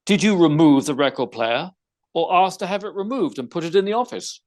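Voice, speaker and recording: A man playing a shrewd, unruffled gentleman detective in an audio theater mystery, speaking precisely with formal, wry phrasing. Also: fairly high pitch, lively intonation, fairly quick, natural voice. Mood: probing, wry.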